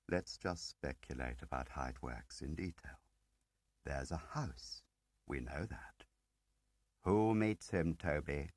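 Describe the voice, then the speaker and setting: Deep, measured ageing spymaster, read by a man. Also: darkish tone, no room sound, clean studio sound, heavily acted. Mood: measured, grave, then probing, insistent. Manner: deliberate, pausing interrogator with rhetorical questions. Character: ageing male spymaster, measured, probing, quietly relentless. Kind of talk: dramatic audiobook character reading.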